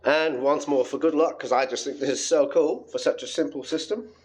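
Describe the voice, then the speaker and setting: A man's voice: fairly high pitch, steady tone, fairly quick, a little hiss or hum. A man presenting a casual, unscripted hands-on tutorial in a chatty, informal and enthusiastic way. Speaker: hobbyist presenter demonstrating homemade electronics.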